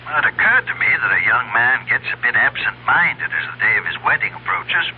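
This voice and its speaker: An actor playing a kindly older father in a 1970s radio drama, courteous, measured and solicitous. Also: mid-range voice, very animated, close to an everyday voice. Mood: concerned, reassuring. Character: kindly, paternal older wealthy father on telephone. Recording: tinny telephone effect, faint hum.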